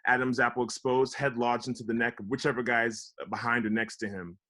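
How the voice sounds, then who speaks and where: A man's voice: mid-range voice, flat delivery, fairly quick, sharp tone. Man reading stage directions. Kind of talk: scripted narration at a table read. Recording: online video call table read.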